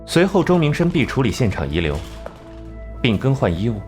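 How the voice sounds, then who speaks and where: Crime animation detective, played by a man: analytical, sardonic, measured, with very mobile intonation over a light music bed. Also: mid-range voice, big pitch swings, darkish tone, close to an everyday voice. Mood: coolly explaining. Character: cool, sardonic male genius detective. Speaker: detective laying out a case. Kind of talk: animated crime drama acting, deductive exposition. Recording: music behind.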